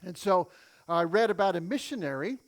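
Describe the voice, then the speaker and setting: A man, a native English speaker, preaching as a missionary and telling stories in short phrases with pauses for an interpreter. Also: high-pitched, lively intonation. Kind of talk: sermon with an interpreter, storytelling.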